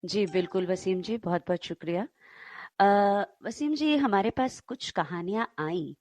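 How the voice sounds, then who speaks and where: A woman co-hosting and telling stories to listeners in an unhurried way. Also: medium pitch, a little mellow. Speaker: co-host and storyteller.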